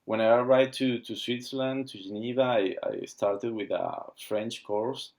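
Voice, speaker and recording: A man, speaking haltingly as he searches for words while being interviewed about founding his watch brand. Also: medium pitch, steady tone, a little mellow. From Argentina. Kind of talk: casual interview.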